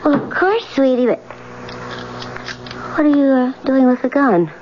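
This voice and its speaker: High-voiced, anxious, scheming wife. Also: female voice, expressive, takes it slowly, darkish tone. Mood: nervous, feigned innocence. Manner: hesitant, anxious, wheedling. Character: scheming unfaithful wife, frightened and pleading. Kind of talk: 1970s radio drama acting. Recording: dull lo-fi radio broadcast, faint noise.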